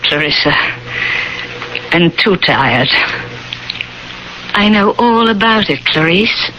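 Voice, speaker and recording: Troubled old woman, radio drama, deliberate slow delivery, faint noise. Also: a little high. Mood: weary, pleading. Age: older. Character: weary old woman in two quarrelling selves, scolding and pleading. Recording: dull, lightly noisy old radio recording.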